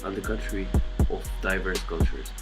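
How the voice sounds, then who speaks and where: A young man from Morocco makes a personal pitch in a fairly low voice. He speaks earnestly and in measured steps, pausing between the items he lists. Loud instrumental music plays under his voice. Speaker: candidate. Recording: voice over loud background music.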